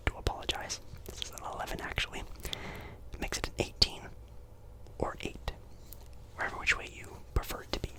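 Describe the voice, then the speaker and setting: A man whispering an ASMR roleplay as a friendly, apologetic casino dealer, breathy and chatty, with polite, hesitant reassurance. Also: fairly quick, rough recording.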